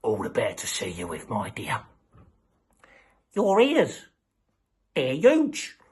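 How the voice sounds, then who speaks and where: A man voicing a sly, smooth-talking male wolf in a storybook tale, with a husky voice. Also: mid-range voice, huge pitch swings, slow pace, darkish tone, heavily acted. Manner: chummy, wheedling.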